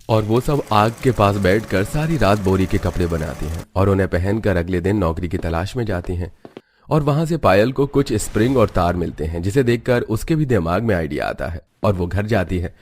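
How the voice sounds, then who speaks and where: Low-pitched male story narrator. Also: expressive, normal speed, a little mellow, slight background noise. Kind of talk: scripted cartoon story narration.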